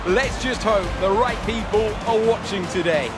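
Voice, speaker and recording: An upbeat racing commentator in a video game, played by a man, pitched high and emphatic. His polished delivery rides over loud music and car sounds. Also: moderate pace, close to an everyday voice. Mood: admiring. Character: excited male motor race TV commentator, upbeat. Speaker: race commentator. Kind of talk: sports commentary. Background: music, racing cars.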